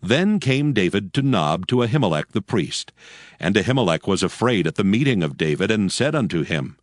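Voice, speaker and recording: A man's voice: fairly low pitch, very animated, normal speed, dry recording, studio quality. A man giving a solemn, scripted dramatic narration of scripture in a storytelling manner. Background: music.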